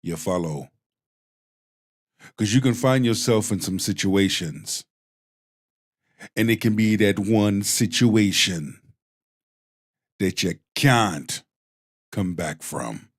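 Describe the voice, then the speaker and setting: A man with a Jamaican accent hosting a stream, commenting bluntly on clips like a preacher. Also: on the low side. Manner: slow, preachy and blunt, with profanity. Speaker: host.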